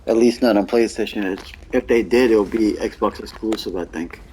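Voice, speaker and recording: A man with a soft-edged, mellow and fairly low voice chats casually while streaming a game. Faint game ambience sits behind him. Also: normal speed, a little hiss or hum, low-quality recording. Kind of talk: casual chat during gameplay.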